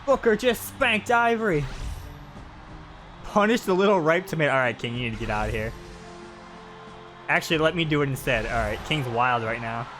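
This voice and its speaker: A man's voice: fairly high pitch, animated, moderate pace, long pauses, a bit bright, soft background music. A man doing casual live commentary on video game footage, talking in an informal way.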